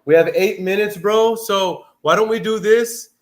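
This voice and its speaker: A man's voice: high-pitched, unhurried. Hyped man calling a home seller. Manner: upbeat, hyped, says "bro" often. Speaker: house buyer, livestream host. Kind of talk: live sales call and casual chat.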